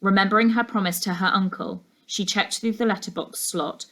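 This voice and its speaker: Female, mid-pitched, expressive and measured story narration, classroom-reader feel. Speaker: teacher reading a class novel aloud.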